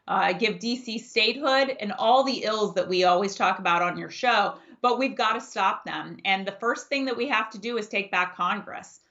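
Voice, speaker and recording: A woman in her forties, a native Farsi speaker raised in the United States, giving steady, emphatic answers as a political candidate in an interview. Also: medium pitch. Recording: dull lo-fi audio.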